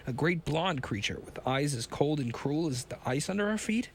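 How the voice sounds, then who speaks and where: A man voicing a nervous, disbelieving explorer who talks in a raised, halting voice. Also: on the high side, lively intonation, a little hiss or hum. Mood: anxious.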